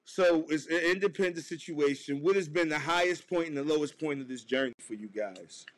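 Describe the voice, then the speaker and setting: A man hosts a casual interview over a webcam, speaking in a relaxed, encouraging way. He keeps nodding along with quick agreements, and his voice sits slightly on the higher side. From US East Coast. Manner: relaxed, affirming, lots of "right, right". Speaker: interview host.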